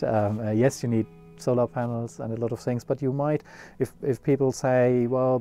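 A man's voice: medium pitch, mellow. A middle-aged man with a German accent, talking casually about sailboats with many fillers and restarts. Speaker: yacht builder CEO. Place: outdoors on a sailboat cockpit.

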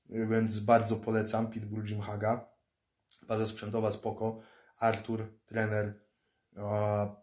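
Man in his thirties, low steady voice, rambles and repeats himself, close phone camera in a small room. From Poland. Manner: rambling, repeats words and restarts often. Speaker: fighter and self-defense instructor vlogger. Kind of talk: casual talk to camera. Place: indoors, small room, seated in armchair. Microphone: phone or laptop camera close up.

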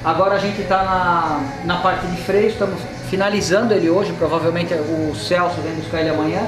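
Man, 40s, Brazilian accent, high voice, explanatory vlog chat, echoey workshop, background music. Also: steady tone, fairly quick. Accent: Brazilian Portuguese. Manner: explanatory, gestures at the car while talking. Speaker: mechanic and channel host. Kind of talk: casual workshop vlog talk. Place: indoor car repair workshop.

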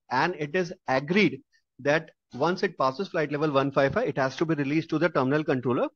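A man's voice: a little high, no echo. A man narrating a slide-based aviation tutorial as instructor, explaining step by step with some hesitations. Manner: explanatory, with hesitations and repeated restatements. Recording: dull lo-fi dry voice-over.

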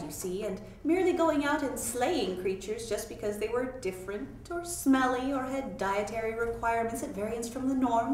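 Casting: female storyteller, higher-pitched, theatrical comic reading, recorded from afar in a very echoey room. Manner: expressive, theatrical. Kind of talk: humorous scripted storytelling. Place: library meeting room. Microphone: far camera. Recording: echoey room, far from mic, noisy.

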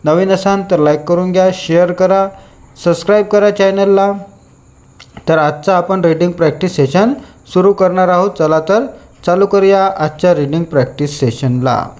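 A man with a Marathi Indian accent teaching a lesson, deliberately reading out practice sentences for learners to repeat. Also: high-pitched, darkish tone. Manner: deliberate, repetitive, instructing listeners to repeat after him.

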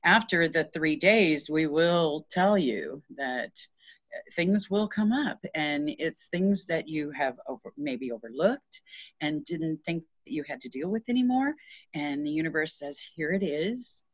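Middle-aged female healer, low register, earnest and conversational, heard over a muffled, dry video call. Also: animated, measured pace, no room sound. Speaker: interview guest, energy healer. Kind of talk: casual explanatory conversation. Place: small room at home. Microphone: video call, shared device at arm's length.

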